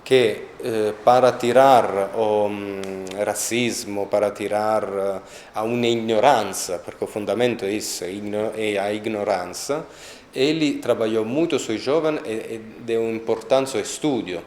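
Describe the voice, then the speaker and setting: A man with an Italian accent, speaking a second language in a documentary interview, pausing often to find his words. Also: fairly low pitch, darkish tone, echoey room. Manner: halting, searching for words, many pauses. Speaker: interviewee on a sainthood cause.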